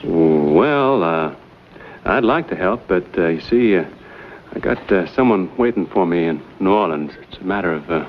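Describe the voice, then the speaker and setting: A man's voice: low voice, expressive, moderate pace, phone-quality sound, a little hiss or hum. A laid-back American frontiersman. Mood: hesitant. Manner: drawling, hesitant with many uhs. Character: easygoing American frontiersman, helpful and wry. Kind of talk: period TV drama acting.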